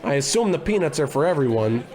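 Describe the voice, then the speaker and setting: An actor playing a bumbling, self-important, grumbling private investigator in a comedy in old radio detective style. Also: male voice, a little high, lively intonation, fast talker, darkish tone, lightly acted. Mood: grumbling, hungry. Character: bumbling, blustery, gluttonous male private investigator.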